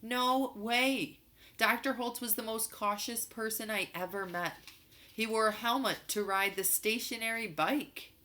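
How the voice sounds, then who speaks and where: A woman's voice: fairly high pitch, steady tone, a little slow, sharp tone, voice pushed up. A woman voicing a precocious, stubborn young boy in a storybook, arguing insistently. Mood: protesting. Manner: insistent, argumentative. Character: young boy Dashiell, precocious, stubborn moon-base kid.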